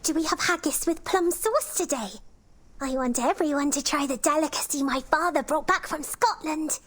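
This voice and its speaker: A woman's voice: high-pitched, moderate pace. A woman voicing a polite, earnest young lady of a manor who is easily flustered, in a video game. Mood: polite, eager. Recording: loud background music.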